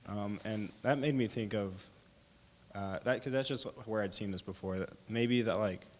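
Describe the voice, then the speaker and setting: A man's voice: fairly low pitch, normal speed, in a large hall. A man sharing his thoughts in a group discussion, hesitant and full of fillers. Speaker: discussion participant.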